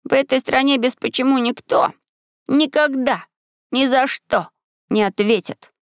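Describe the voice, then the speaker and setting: A woman voicing an earnest, curious and bold seven-year-old boy in a storybook, asking clear, insistent questions. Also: high voice, normal speed, no echo, clean studio sound, pitched above the performer's own voice. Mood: scornful.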